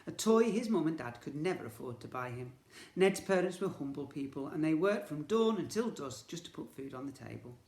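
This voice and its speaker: Male storyteller for young children, expressive and theatrical, recorded in a roomy, echoing space. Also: fairly high pitch, fairly quick. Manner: theatrical, expressive, with emphatic sound words. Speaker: teacher reading a story to children. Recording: echoey room.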